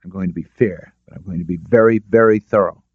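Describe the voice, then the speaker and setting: A man performs an audiobook's forceful doctor in his forties, blunt and indignant. The voice is heavy and masculine, the tape dry and slightly muffled. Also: sits low, quick pace, husky, dry recording. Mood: firm, confiding. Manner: blunt, assertive.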